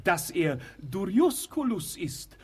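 Pedantic young student doctor, high voice, slow stilted recitation, foolish, dutiful, radio comedy. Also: male voice, big pitch swings, husky, heavily acted. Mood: pompous, dutiful. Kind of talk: German radio play comedy acting.

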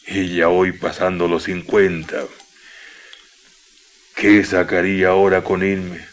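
An actor playing a warm, jovial Chilean miner in his fifties, talking in a folksy, colloquial way for a radio drama. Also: sits low, flat delivery. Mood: resigned, wry. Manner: folksy, drawn-out colloquial speech.

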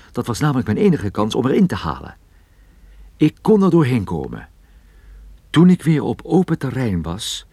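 An actor playing a cool private detective narrating his own case in measured radio play style. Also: medium pitch, a little mellow, husky, no room sound. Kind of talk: scripted first-person radio play narration.

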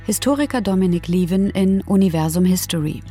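A woman's voice: mid-range voice, animated, fairly quick, dark tone, soft background music. A woman reading scripted announcements as a narrator in a radio production. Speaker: announcer of dates and sources.

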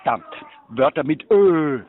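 An actor playing an irritated scholar in a radio play, drilling single words in a clipped way through a thin, tinny sound. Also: male voice, fairly high pitch, animated, a little slow.

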